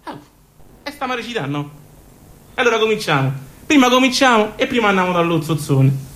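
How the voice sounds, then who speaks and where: A woman's voice: mid-range voice, big pitch swings, fairly quick, very noisy. A woman with a Roman accent delivering a colloquial, self-mocking comic monologue straight to the audience as presenter. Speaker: stage presenter.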